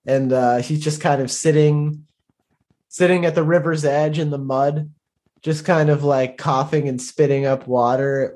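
A man playing a tabletop roleplaying game, casually describing his character's actions in a halting, hesitant way. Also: medium pitch, darkish tone. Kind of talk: casual improvised roleplay narration.

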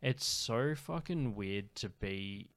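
A man's voice: fairly low pitch, lively intonation, takes it slowly, a bit bright, no room sound. A man in his twenties hosting a podcast, chatting casually, rambling with lots of fillers and swearing freely. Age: 20s. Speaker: podcast host. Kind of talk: casual chat.

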